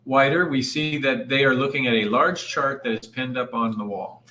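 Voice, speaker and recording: A man's voice: mid-range voice, fairly even intonation, normal speed, bright, forceful tone. A man directing a live table read and reading out the scene descriptions in an informal, directive way. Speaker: director and stage-direction reader.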